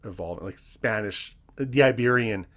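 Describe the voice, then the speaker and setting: A man's voice: fairly low pitch, normal speed. A man in his forties from Canada hosting a casual conversation with an old friend, talking in a rambling, hesitant way. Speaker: podcast host. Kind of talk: casual interview chat between old friends.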